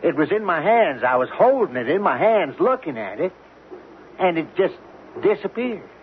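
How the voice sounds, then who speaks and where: An actor playing an uneasy, deferential oil rig worker in a radio drama, hesitant and earnest. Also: male voice, high-pitched, sing-song, normal speed. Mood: bewildered. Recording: muffled, faintly noisy old radio broadcast.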